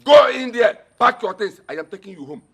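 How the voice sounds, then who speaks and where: High, husky, domineering Nigerian man. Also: very animated, fairly quick, a bit bright, exaggerated voice. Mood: scornful, commanding. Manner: commanding, taunting. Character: scornful, domineering man ordering a woman home. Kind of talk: melodramatic film acting.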